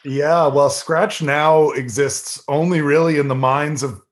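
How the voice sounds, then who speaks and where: A man's voice: steady tone, a little slow. Chatty man in a casual call. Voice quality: slightly nasal yet deep. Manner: rambling, laughing, many fillers. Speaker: podcast guest, improv performer. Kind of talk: casual chat.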